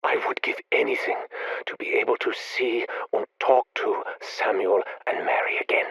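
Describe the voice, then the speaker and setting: An actor playing a grieving, obsessive male scientist, speaking in a measured, brooding, confessional way in video game audio logs. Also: mid-range voice, fairly even intonation, creaky, fairly bright tone, breathy edge, dry recording, in a whisper.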